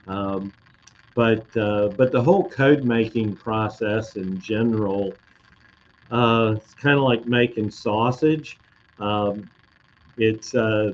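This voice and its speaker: A man talking slowly and haltingly, with many fillers, answering viewer comments on a live webcast, his voice slightly hoarse. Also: on the low side, darkish tone, dull audio, slight background noise. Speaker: code expert on a live industry webcast.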